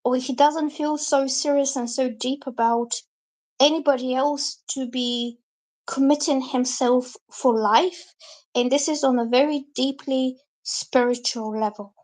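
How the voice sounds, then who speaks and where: A woman's voice: high voice, steady tone, a little slow, darkish tone. A woman from Bulgaria, giving a chatty, rambling live-stream tarot reading. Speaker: tarot reader.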